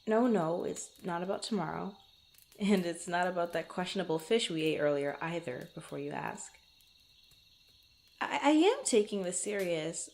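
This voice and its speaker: A woman's voice: on the low side, expressive, moderate pace, creaky, a little mellow. A woman playing a guarded but fond young female rogue in an intimate roleplay, bantering playfully and stammering when flustered. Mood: hesitant confiding. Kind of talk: intimate ASMR roleplay, one-sided conversation.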